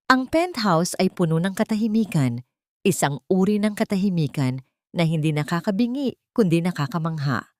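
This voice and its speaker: A woman with a Filipino accent narrating a scripted dramatic story in a measured, literary way. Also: lowish voice, darkish tone, no echo, studio quality.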